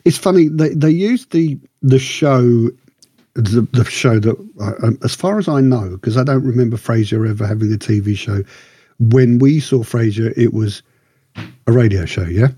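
A man co-hosting a podcast, chatting casually about TV in a halting, rambling way that circles back on itself. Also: medium pitch, mellow, breathy edge, no room sound. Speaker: podcast co-host. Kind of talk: casual discussion of TV shows.

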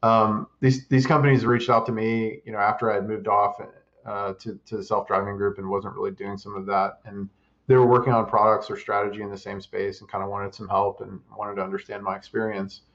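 A man talks through his answers in a level, even way, stopping often to restart a phrase. His voice sits low and has a gravelly edge. The audio sounds slightly dull and muffled. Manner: measured, with many fillers and restarts. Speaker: interview guest, investor and startup advisor. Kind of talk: casual interview answers.

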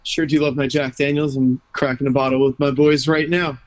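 A man's voice: mid-range voice, little pitch movement, fairly quick, no echo. A man who sings in a band, playful and hamming it up in a casual interview. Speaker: band singer.

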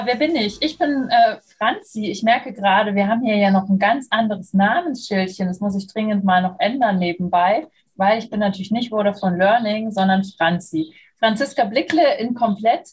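A woman's voice: mid-range voice, husky. A woman in her thirties from southern Germany, talking casually as an interview guest. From Munich, southern Germany. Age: 30s. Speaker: interview guest, freelance trainer and moderator.

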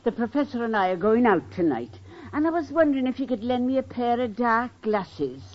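An actress playing a vain, good-humored middle-aged Irish neighbor in an old radio sitcom. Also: female voice, fairly high pitch, very animated, brisk. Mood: chatty, coy. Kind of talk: 1940s-50s radio sitcom acting. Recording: dull, noisy old radio recording.

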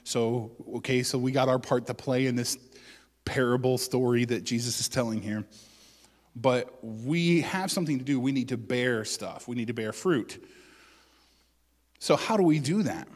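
A male pastor giving a conversational sermon, with joking asides and loud bursts of emphasis. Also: mid-range voice, normal speed, big-room sound.

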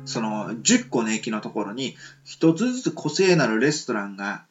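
A man's voice: medium pitch, sing-song, moderate pace, muffled recording, faint music behind. A man co-hosting a casual radio chat, rambling with many fillers. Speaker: radio show co-host.

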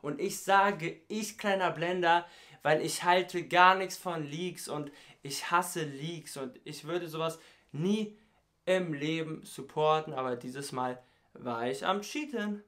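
A man's voice: a little high, slow pace, a bit bright. A young man, a YouTuber, chatting casually in a vlog, tired and sleepless, with a stutter and heavy youth slang. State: tired, has not slept. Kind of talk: casual vlog chat with rap parody bits.

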